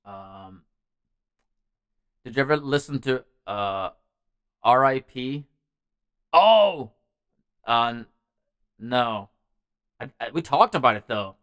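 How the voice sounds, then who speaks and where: A man's voice: mid-range voice, lively intonation, slow, pauses often, fairly bright tone, clear, resonant tone, dry recording, studio quality. A man hosting a music show, chatting casually and ramblingly as he answers messages from viewers. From Norway. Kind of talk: casual livestream chat.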